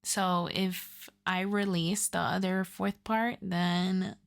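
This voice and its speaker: A woman's voice: on the low side, level tone, slow pace, creaky. A woman hosting her audio roleplay channel, chatting casually to her viewers. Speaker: audio roleplay creator and host.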